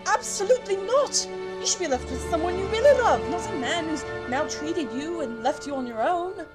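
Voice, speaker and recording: A woman playing a doting, pompous gentleman suitor in a period audio drama, with gallant, flowery and fussy delivery. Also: extremely high pitch, expressive, moderate pace, loud music behind the voice. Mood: protective. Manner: flowery, gallant, fussy, stammers when flustered.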